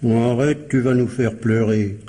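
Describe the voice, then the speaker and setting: An actor playing a sardonic, bullying police interrogator, mocking and pressing in slangy French, in a radio crime drama. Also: male voice, medium pitch, steady tone, a little slow, warm tone, a little hiss or hum, natural voice.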